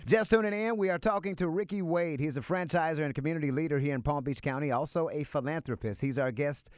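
A man hosts a radio show, reading out an announcement at a rapid clip. His voice sits a bit high. The sound is dry and close, with no room echo. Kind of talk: radio station-break announcement.

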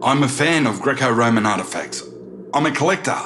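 An actor in an audio drama playing a frightened, wealthy lawyer who stammers, rambles and pleads. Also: male voice, medium pitch, lively intonation, fairly quick, over music, close to an everyday voice. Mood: nervous placating.

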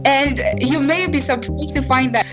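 A woman's voice: sits high, normal speed. Young Kenyan woman, interview guest, earnest conversational telling, music bed underneath. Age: young adult. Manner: earnest, conversational, uses fillers like "you know". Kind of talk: casual personal storytelling. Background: background music.

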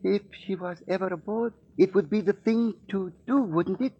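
A menacing East Indian smuggler in a 1940s radio serial. His voice is high and husky, dryly recorded, with deliberate, formal and taunting phrasing. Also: warm tone, no echo, dull audio. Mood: smug menace. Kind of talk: 1940s radio drama acting.

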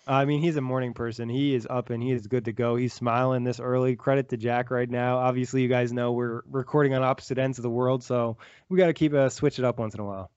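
Fast-talking man on a dry, dull line. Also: mid-range voice, little pitch movement, a little mellow, clean tone, no room sound. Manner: quick, agreeable, filler-heavy. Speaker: podcast co-host. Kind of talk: casual sports podcast chat. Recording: dry, dull lo-fi remote audio.

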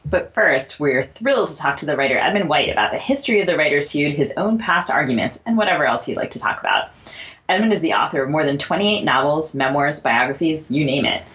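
A woman's voice: low voice, lively intonation, a little fast, a bit bright, highs cut off. A woman co-hosting a podcast, articulate and polished as she introduces guests and asks questions. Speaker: podcast co-host. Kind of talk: scripted introductions and interview questions.

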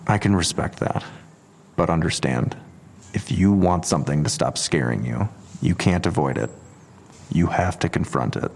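A man playing a patient, persistent police detective in an audio drama, measured and earnest, with a gravelly voice. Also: sits low, lively intonation, full character voice. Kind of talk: full-cast audio drama acting.

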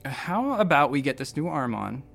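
A man's voice: on the high side, sing-song, moderate pace, gravelly, fairly bright tone, faint music behind, full character voice. A man playing a wry, rambling space doctor who narrates an audio drama in a conversational, hesitant way with dry humor. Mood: nervous, rambling. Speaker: narrator and lead character.

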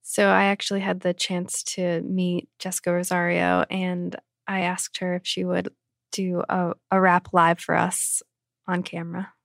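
A woman editor recounts interviews in a matter-of-fact tone. Her low voice is gravelly and stays flat. The recording is dry and close. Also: a little slow, dry recording. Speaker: editor and creative director. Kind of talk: podcast interview narration.

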